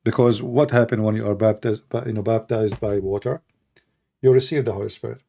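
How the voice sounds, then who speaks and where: A middle-aged man with a gravelly, dark-toned voice and an Arabic accent. He speaks casually, pausing often with fillers and restarting his sentences. His opinions come out blunt and unhedged. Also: lowish voice, steady tone. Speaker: livestream debate host. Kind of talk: casual unscripted talk answering questions.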